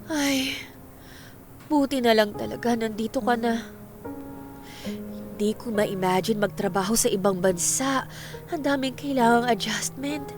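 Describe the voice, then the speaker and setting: A woman acting in a Filipino radio drama as a chatty, teasing married best friend with an expressive, gossipy manner. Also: on the high side, unhurried, long pauses, over music, close to an everyday voice. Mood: sympathetic.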